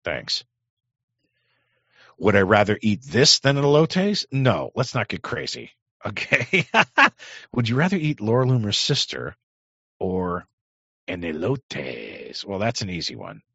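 Man, streamer, deep gravelly husky voice, lively swooping pitch, dry dull sound, crude rambling chat. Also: slow pace, pauses often, dry recording. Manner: crude, rambling reactions to clips. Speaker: live streamer. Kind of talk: casual live-stream chat.

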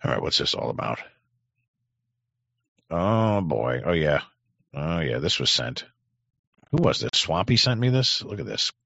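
A man's voice: rumbling low, sing-song, measured pace, gravelly, long pauses, fairly bright tone, no room sound. A man streaming live, chatting casually and reacting crudely to clips he plays. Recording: dry, dull lo-fi sound.

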